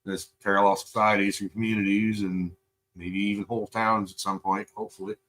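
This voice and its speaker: A man's voice: on the low side, level tone, brisk, a bit bright, low-quality recording. Profane, rambling male streamer from Canada. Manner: loose, profane, rambling with fillers. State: high. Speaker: live streamer reacting to videos. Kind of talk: casual rambling stream chat.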